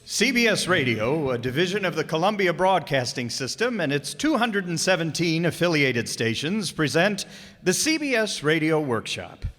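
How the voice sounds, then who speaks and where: A man's voice: fairly high pitch, a bit bright. A man playing a formal old-time radio announcer and storyteller, reading scripted narration. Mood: formal, grand. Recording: reverberant hall with music behind.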